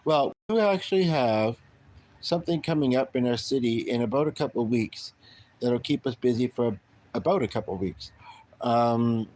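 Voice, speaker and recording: A middle-aged man from Canada chatting casually on his own livestream, rambling and halting with many pauses and restarts. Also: medium pitch, darkish tone. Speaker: livestream host.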